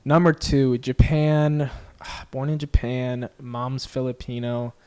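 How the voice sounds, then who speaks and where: A young man hosting a sports podcast, his voice at an everyday middle pitch. He talks loosely and chats along, questioning his guest in a friendly, rambling way. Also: level tone, takes it slowly, a little mellow, clear, resonant tone. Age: young adult. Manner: chatty and easygoing, heavy on "like" and "you know". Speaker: podcast host. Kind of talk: casual interview.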